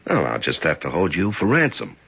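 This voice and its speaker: An actor playing a ruthless, menacing American gangster who taunts in slang and Italian endearments, in a 1970s radio drama. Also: male voice, sits low, wildly swooping pitch, a little fast, gravelly, husky, exaggerated voice. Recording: dull 1970s radio recording.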